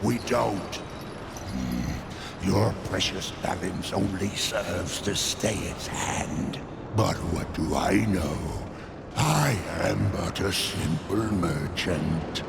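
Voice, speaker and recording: An actor playing a sly, sardonic demon merchant in a video game, ominous and portentous, with a gravelly voice. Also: male voice, sits low, animated, very slow, heavily acted. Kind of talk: video game cutscene acting. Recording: loud noise behind the voice.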